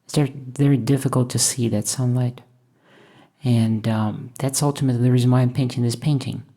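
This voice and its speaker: A woman from Russia, thoughtfully talking over her painting process in a hesitant, musing way with many pauses. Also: extremely low pitch, steady tone, dark tone. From Moscow, Russia. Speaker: painter and channel host. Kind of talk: reflective voice-over while painting.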